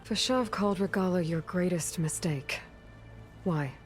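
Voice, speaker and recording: A woman's voice: fairly low pitch, a little slow, close to an everyday voice. An actress playing a blunt, skeptical young warrior woman in a video game, with a direct, questioning, understated delivery. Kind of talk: naturalistic video game dialogue. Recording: music under the dialogue.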